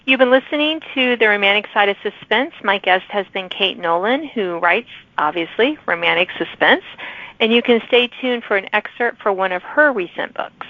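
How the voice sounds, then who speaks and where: A middle-aged woman hosting a casual interview show, chatty and given to animated asides. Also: mid-range voice, moderate pace, no room sound, dull audio. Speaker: podcast host.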